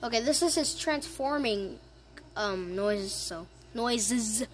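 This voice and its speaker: A high-voiced video creator presenting a homemade vlog in an informal, hesitant way. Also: expressive, slow, a little hiss or hum. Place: family home.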